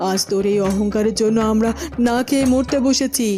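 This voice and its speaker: A woman's voice: fairly high pitch, brisk, over music, lightly acted. Woman acting a plaintive, scolding royal companion. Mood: wailing, reproachful. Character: queen's hungry, complaining female companion, fed up and pleading.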